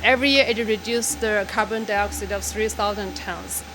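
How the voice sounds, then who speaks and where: A woman's voice: medium pitch, steady tone, music in the background. A woman from Shanxi speaking English with a Mandarin accent, explaining a site with frequent restarts and fillers. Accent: Mandarin Chinese. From Shanxi, China. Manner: halting, self-correcting tour explanation. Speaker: hostel staff guide.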